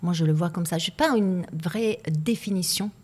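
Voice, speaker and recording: An Italian woman speaks French with a clear Italian accent as a guest on a podcast. Her voice sits on the lower side for a woman. She talks in a reflective way, pausing and repeating words while she looks for the right phrase. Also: a little mellow. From Italy. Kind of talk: podcast interview conversation.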